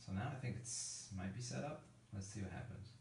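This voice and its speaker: A man with a low voice that creaks into fry talks through a music software demonstration. He is informal and thinks out loud as he works. The room echoes around him. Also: brisk. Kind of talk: casual hands-on software tutorial.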